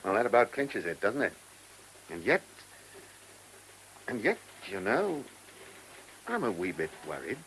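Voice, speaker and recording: A shrewd British chief inspector in a mid-century radio drama. His delivery is wry and clipped, swinging lively in pitch as he questions. A faint hiss lies over the old recording. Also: measured pace, long pauses, exaggerated voice, rough recording. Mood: musing, wry. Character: dry, shrewd male police chief inspector, teasing superior.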